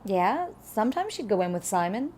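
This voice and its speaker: A woman's voice: mid-range voice, sing-song, normal speed, a little mellow. A woman playing a casual, helpful office receptionist in a naturalistic audio drama, talking in an offhand, matter-of-fact way.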